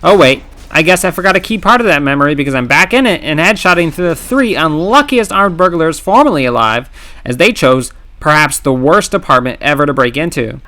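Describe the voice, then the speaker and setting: A man narrating his own gameplay challenge in scripted voice-over, wry and sarcastic with playful dramatic pauses. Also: on the high side, pushed and bright. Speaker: gaming channel host. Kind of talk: scripted comedic game commentary narration.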